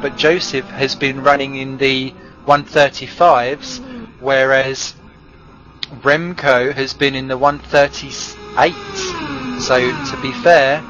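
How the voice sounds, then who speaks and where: A man's voice: medium pitch, fairly even intonation, fairly bright tone. A man giving chatty, joking play-by-play commentary on a sim race. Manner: chatty, jokey, fast play-by-play. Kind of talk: sim racing live commentary. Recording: muffled stream audio with music behind.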